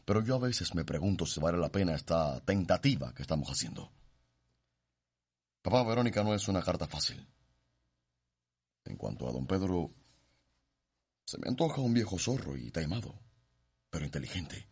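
An actor playing an arrogant but uneasy young suitor in a radio drama, with a Cuban accent and a proud, doubting way of talking. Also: male voice, low voice, big pitch swings, normal speed, pauses often, darkish tone, breathy edge, exaggerated voice. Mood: worried, doubting. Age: young adult.

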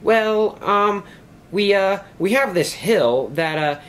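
A mischievous twin engine talks eagerly in a high voice, stumbling over his words, voiced by a man. It is a storybook toy-train character. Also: lively intonation, takes it slowly, fairly bright tone, exaggerated voice.

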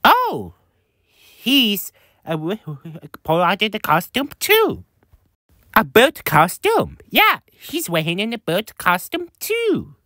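A woman improvising character lines for a fan video in a halting, repetitive way, stumbling over her words. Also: mid-range voice, wildly swooping pitch, a bit bright, no echo, studio quality. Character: excitable woman in a bird costume.

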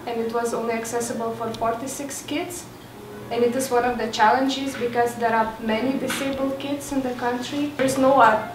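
A woman's voice: on the high side, noisy background. A young woman teacher presenting to an audience in a hall, explaining steadily with occasional fillers. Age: young adult. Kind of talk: informal panel talk. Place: dim hall with seated audience. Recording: roomy far-off hall recording with music.

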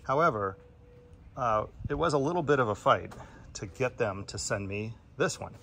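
A man talks plainly and a bit annoyed as he points out flaws in a product he holds up. He speaks at an ordinary middle pitch, filming on a phone out on a wooden deck. Clear outdoor noise runs under his voice. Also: lively intonation, moderate pace, fairly bright tone. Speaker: product reviewer. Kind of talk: casual handheld product review. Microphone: phone camera in hand.

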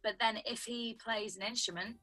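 Chatty husky young woman on a call. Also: on the high side, steady tone, normal speed, pushed and bright, faint music behind, poor audio. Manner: chatty, explains with lots of "like" and "do you know what I mean". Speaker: songwriter guest. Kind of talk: casual video call interview. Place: home room indoors. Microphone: video call webcam.

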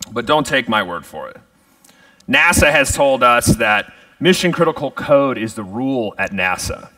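Bright-voiced man giving an upbeat keynote. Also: dry recording, recorded from a distance. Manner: polished, upbeat product pitch. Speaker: keynote speaker. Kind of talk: conference keynote presentation. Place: large conference stage.

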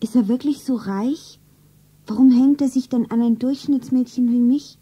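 High, soft husky shop girl. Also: fairly even intonation, moderate pace. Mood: pensive. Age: young adult. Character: young shop girl in love, naive, earnest. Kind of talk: stylised radio play acting, narrating her own scene. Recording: 1970s radio play, music behind.

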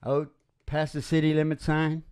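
A man's voice: fairly high pitch, completely flat delivery, normal speed, darkish tone, clear, resonant tone. A middle-aged man hosting an old-time radio style music show with playful, theatrical, casual stage patter into a microphone. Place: small indoor room, live performance. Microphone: stage microphone on a stand, close up. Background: acoustic guitar playing.

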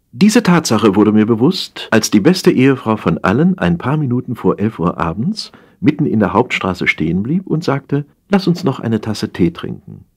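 A man narrating a humorous satire as an audiobook reader, in a wry, theatrical storytelling manner with drawn-out emphasis. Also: deep voice.

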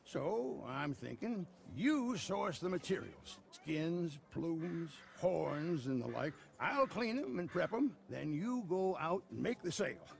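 Creaky old american frontier trader, folksy sales patter, voice swings all over, western game. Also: male voice, on the high side, very animated, slow, few pauses, heavily acted. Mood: eager, folksy. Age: older. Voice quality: gravelly. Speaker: game character actor. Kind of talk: video game cutscene acting.

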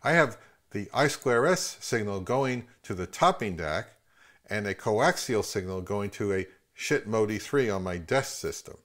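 A man in his fifties with a fairly low voice hosts a review channel. He delivers scripted product commentary in the manner of a narrator, speaking as a presenter to his viewers. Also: animated, a little slow, fairly bright tone.

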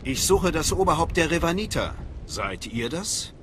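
A determined male dark-side acolyte from a video game. He is eager, direct and formally confident, with lively intonation over clear background music. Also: on the high side, normal speed. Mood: determined, inquiring. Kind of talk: dramatic video game voice acting in German.

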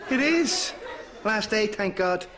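A man's voice: high voice, lively intonation, takes it slowly, fairly bright tone, some chatter behind, heavily acted. A man playing a good-natured, put-upon grown-up Irish son in a sitcom, casual and plain-spoken. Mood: easygoing. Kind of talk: studio sitcom acting. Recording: very noisy sitcom studio soundtrack.

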